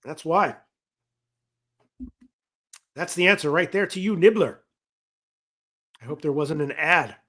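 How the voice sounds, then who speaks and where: A man hosting a sports betting talk show, rambling and correcting himself as he reads viewer comments, his voice going hoarse. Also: on the high side, expressive, slow, pauses often, fairly bright tone. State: losing his voice. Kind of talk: casual betting talk on a livestream.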